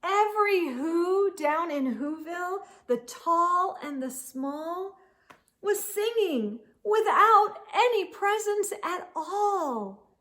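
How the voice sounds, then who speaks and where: A woman with a high-pitched voice hosts a children's storytime. She reads rhyming verse at a slow pace, leaning into the rhythm. Key words get dramatic, expressive emphasis. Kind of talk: expressive read-aloud of a rhyming picture book.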